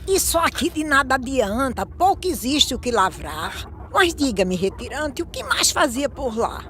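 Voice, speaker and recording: A shrewd, questioning village woman from an animated drama, with a Brazilian accent. The high voice rises and falls a lot as it delivers verse, with a little ambient noise behind it. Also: measured pace. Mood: probing, dismissive.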